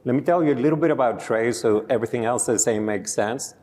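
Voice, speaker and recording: A man's voice: mid-range voice, quick pace, darkish tone. A man from Greece with a Greek accent, a company founder explaining his product and telling a customer story on a conference panel. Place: conference stage. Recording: reverberant conference hall.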